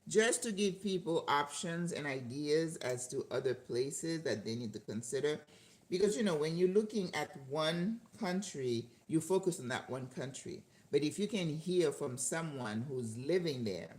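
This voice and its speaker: An older woman hosting a channel, chatting casually with viewers while cooking at home. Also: low voice. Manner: chatty, talks to viewers and laughs often. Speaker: channel host cooking live. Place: home kitchen. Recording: echoey kitchen with faint background noise.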